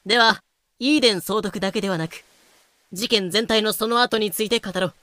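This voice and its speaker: A woman's voice: medium pitch, a little fast, a bit bright, no echo, lightly acted. Woman acting a beleaguered, earnest colonial official. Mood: earnest, explaining. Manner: earnest, explanatory, aggrieved. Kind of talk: fan-made audio drama acting.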